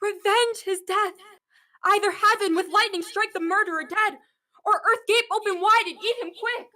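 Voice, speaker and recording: Casting: young actress for furious bereaved noblewoman, Shakespearean radio drama, extremely high, sharp voice, scornful and impassioned. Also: moderate pace. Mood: outraged, fearful. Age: young adult. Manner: declamatory, impassioned. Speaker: acting student. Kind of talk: Shakespearean radio play acting.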